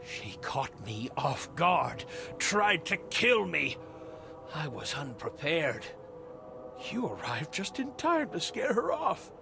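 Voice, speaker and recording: A grave occultist in a video game. He is slow and hesitant, with a creaky, weary, guarded voice and music clearly playing behind him. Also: on the high side, sing-song, exaggerated voice. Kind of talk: video game dialogue acting.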